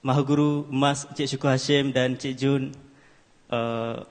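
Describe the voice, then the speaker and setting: A man's voice: mid-range voice, fairly even intonation, unhurried. A man in his twenties giving an earnest speech at an award ceremony, with many hesitant fillers. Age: 20s. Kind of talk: formal stage speech. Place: large ceremony hall. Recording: hall reverb.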